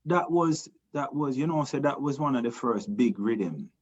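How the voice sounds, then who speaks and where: A man from Jamaica with a Jamaican accent, a reggae singer answering interview questions in a relaxed, rambling way, full of restarts and "you know". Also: medium pitch, normal speed, muffled recording. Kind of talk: casual interview talk.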